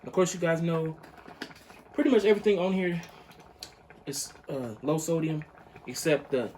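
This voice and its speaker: A man's voice: a little high, lively intonation, moderate pace, darkish tone, a little hiss or hum. Laid-back young man cooking and chatting. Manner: laid-back, chatty, addresses viewers as y'all. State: morning voice, just woke up. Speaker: cooking channel host. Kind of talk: casual recipe demonstration. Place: living room with a pot on a hot plate.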